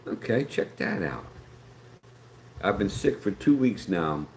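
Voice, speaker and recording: A man's voice: fairly low pitch, sing-song, slow pace, vocal fry. Folksy Appalachian man, echoey room. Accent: Appalachian American. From West Virginia, USA. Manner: rambling, folksy, reads chat aloud. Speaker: live stream host. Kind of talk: casual live stream chat. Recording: echoey room with faint background noise.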